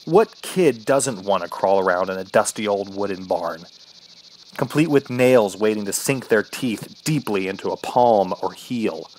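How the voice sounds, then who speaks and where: An actor playing an injured, thirsty trapped man, narrating his ordeal in a brooding, halting, dramatic way for a horror radio play. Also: on the low side, animated, darkish tone, a little hiss or hum, rough recording. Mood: haunted.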